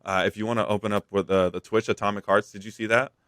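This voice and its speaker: A man's voice: on the low side, little pitch movement, fast talker, a bit bright, no room sound, studio quality. A man co-hosting a podcast, talking through gaming news in a casual, slangy, rambling way. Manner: rambling, slangy, frequent "like" and "dude". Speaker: podcast co-host.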